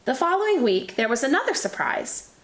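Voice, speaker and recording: A woman teacher reading a children's picture book aloud in an expressive storytelling manner. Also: high voice, fairly quick. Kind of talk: children's read-aloud narration. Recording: echoey room.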